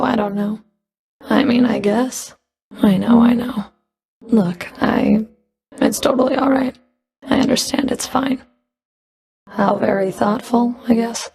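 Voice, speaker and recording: A woman's voice: on the high side, gravelly, a little mellow, slightly rough, heavily acted. A woman playing a stern, sardonic underworld fury in a video game, speaking in a cool, clipped, dry and commanding manner. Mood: resigned. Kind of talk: video game character voice lines.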